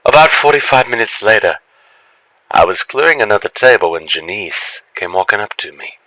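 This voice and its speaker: Deep-voiced male storyteller, bright and dry. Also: moderate pace, dry recording, low-quality recording. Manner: expressive storytelling, acts out the lines. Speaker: horror story narrator. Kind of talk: dramatic first-person scripted narration.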